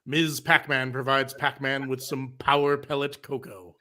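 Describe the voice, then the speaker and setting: A man's voice: medium pitch, flat delivery, measured pace, sharp tone. A man giving scripted dramatic narration of a cartoon script at a table read.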